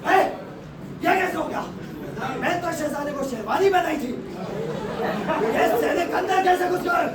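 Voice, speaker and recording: Man acting high-pitched blustering husband, very echoey. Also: measured pace. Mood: flustered, refusing. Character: flustered, blustering husband refusing a third child. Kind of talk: live amateur comic stage play in Hindi. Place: large hall stage. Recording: very echoey distant hall, loud crowd noise.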